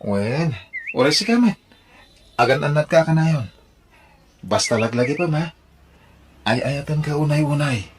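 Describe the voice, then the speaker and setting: A man's voice: a little high, lively intonation, measured pace, pauses often, noisy background. A man with an Ilocano accent playing a devoted, reassuring young boyfriend in an audio drama. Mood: tender, reassuring. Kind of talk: Ilocano radio-style audio drama acting.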